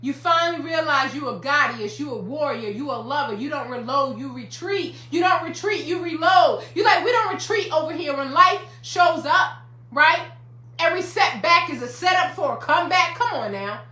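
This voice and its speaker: A woman in her fifties gives a motivational livestream talk as a life coach, hammering her points home with repetition. Her voice is high and bright. The room sounds echoey. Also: expressive, moderate pace. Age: 50s. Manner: emphatic, repetitive, preacherly.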